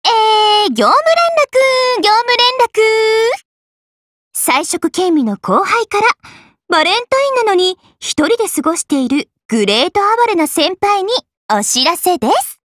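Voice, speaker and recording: A woman voicing a mischievous, smug AI girl in an anime game, with a cutesy, theatrical announcer's delivery and teasing drawn-out words. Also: very high-pitched, very animated, fairly bright tone, no room sound, heavily acted. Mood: mock announcing. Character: teasing, mischievous AI girl, self-styled adorable junior. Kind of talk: Japanese anime game voice acting. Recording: studio voice over background music. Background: music.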